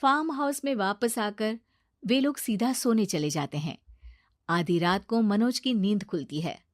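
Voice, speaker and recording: A woman's voice: mid-range voice, unhurried, no room sound, studio quality. A woman narrating a scripted children's story.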